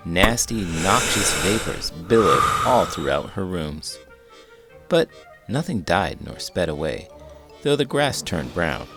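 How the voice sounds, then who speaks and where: A man reading a children's picture book aloud in a theatrical, expressive way. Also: sits low, slow pace, over music. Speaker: host, children's book reader. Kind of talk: animated storybook read-aloud narration.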